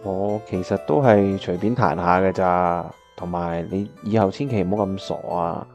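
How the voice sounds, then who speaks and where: A male actor plays an earnest young pianist with a Hong Kong accent and a fairly low voice. He is caring and thoughtful, telling his story and talking as in a radio drama. Music plays clearly behind him. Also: fairly even intonation, normal speed, a little mellow, dull audio. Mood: earnest pleading. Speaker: voice actor narrating and playing the lead. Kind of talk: Cantonese radio drama narration and dialogue.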